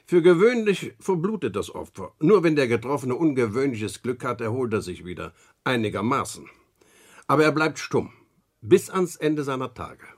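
An actor playing a grave middle-aged lawyer and uncle in a German radio crime drama, telling things in a deliberate, weighty way. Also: male voice, medium pitch, big pitch swings. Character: grave male lawyer and uncle recounting grim tales.

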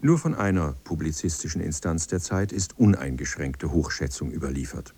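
A man's voice: low-pitched, dark tone, husky, dry recording. A man reading a scripted documentary narration as its narrator.

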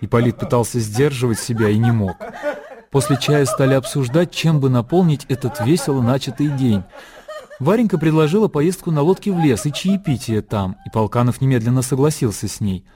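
Мужчина читает литературное повествование от автора в радиоспектакле. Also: male voice, medium pitch, animated, fairly quick, crowd noise. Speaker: narrator. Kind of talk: scripted literary narration in radio drama.

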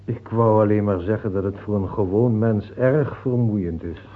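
A modest hermit holy man played by a man in a radio play, heard through a thin, tinny old recording. He is mild and weary, gently contrary, and understates everything in a plain, dry way. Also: on the low side, moderate pace, close to an everyday voice. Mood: sheepish, understated.

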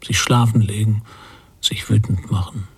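Brooding emigrant dad in a radio drama, really husky n creaky, reflective, hushed phrase endings. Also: male voice, fairly low pitch, fairly even intonation, a little slow, full character voice. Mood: awed reverie. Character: brooding emigrant father recalling a stormy sea voyage. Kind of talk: literary radio play monologue.

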